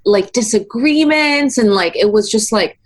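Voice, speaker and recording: A woman's voice: fairly high pitch, expressive, unhurried. A young woman from Taiwan, a musician guest in her twenties, talking casually in an interview with many fillers and frequent laughs.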